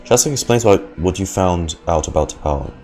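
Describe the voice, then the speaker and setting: A man with a low voice streams a game and reacts casually. He drops offhand, muttered asides. Faint game music plays behind him. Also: lively intonation, moderate pace, a little mellow. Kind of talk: casual live gaming reaction.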